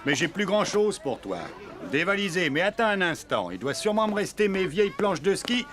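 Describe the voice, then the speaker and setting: A man's voice: high voice, big pitch swings, fairly quick, fairly bright tone, a faint crowd. A man voicing an adult ski rental clerk in a cartoon. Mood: offhand. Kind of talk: animated cartoon acting.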